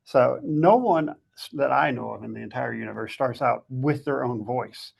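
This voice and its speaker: Gravelly, husky man giving advice. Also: mid-range voice, normal speed. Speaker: guest fiction author giving writing advice. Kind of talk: conversational advice talk.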